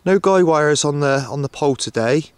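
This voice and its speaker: A man narrating a casual outdoor vlog in a hesitant, matter-of-fact way, often pausing as he goes. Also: a little high, lively intonation, smooth, no room sound. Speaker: radio hobbyist filming himself. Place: outdoors on an open hilltop. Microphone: handheld camcorder, operator behind camera.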